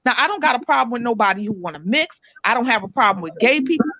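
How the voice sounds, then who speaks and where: A woman's voice: on the high side, expressive, fast talker, sharp tone, no room sound, muffled recording. A woman with a Jamaican accent, a guest talking emphatically in casual radio chat and often asking if she is understood. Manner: emphatic, repeats "you understand what I'm saying".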